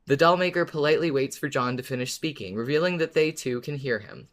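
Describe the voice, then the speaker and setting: A man's voice: mid-range voice, fast. A man co-hosting a podcast, chatting casually and giving recaps of a story. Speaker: podcast co-host.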